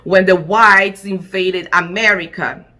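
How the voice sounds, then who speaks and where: A woman delivers a heartfelt personal statement in English, which is not her first language. She speaks very slowly, setting each short phrase apart with deliberate emphasis. Her voice is bright and forceful. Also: lowish voice, steady tone. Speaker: attorney. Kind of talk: impassioned spoken personal statement.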